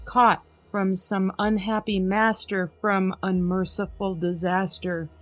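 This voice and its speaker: Slow-reading woman, dramatic poem. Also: mid-range voice, flat delivery, no echo. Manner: measured, alternating lines with a co-reader. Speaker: podcast host. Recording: slightly muffled with faint music.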